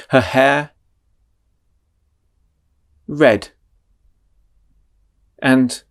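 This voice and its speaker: Male meditation guide: unhurried, calming delivery with a melodic, rising-and-falling voice. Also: medium pitch. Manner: slow, soothing, drawn-out phrasing.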